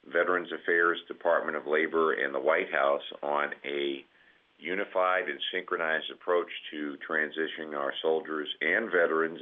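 A man with a cold, sounding scratchy and nasal, speaking as a guest in measured remarks with many pauses. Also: rumbling low. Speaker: senior army enlisted leader, guest. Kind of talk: conference call remarks. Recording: tinny phone line.

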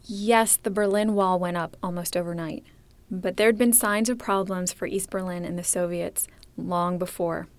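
A woman's voice: lowish voice, steady tone. Woman narrating a history podcast. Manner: clear, measured storytelling. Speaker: podcast host. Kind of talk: scripted documentary narration.

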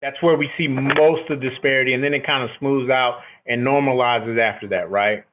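Over a narrow, phone-like connection, a middle-aged man talks as an interview guest. He has a booming voice at an average pitch. His delivery is conversational and reflective, often checking that the listener follows. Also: steady tone, a little fast. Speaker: military officer, podcast guest. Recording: thin, phone-like band-limited line.

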